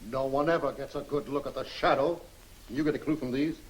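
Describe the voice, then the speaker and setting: A man acting as a gruff, suspicious police official in a 1940s film serial. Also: medium pitch, steady tone, quick pace, lightly acted. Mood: brusque, suspicious. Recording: noisy 1940 film soundtrack.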